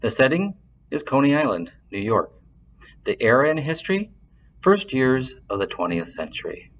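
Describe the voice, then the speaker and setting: A man reading literary fiction aloud in a measured, expressive storytelling style, like an audiobook narrator. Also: mid-range voice, a little hiss or hum, low-quality recording.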